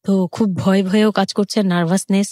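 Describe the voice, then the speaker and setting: A woman with a Bangladeshi accent telling her own story informally as a guest, haltingly, repeating phrases. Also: medium pitch, little pitch movement, warm tone, no room sound, clean studio sound.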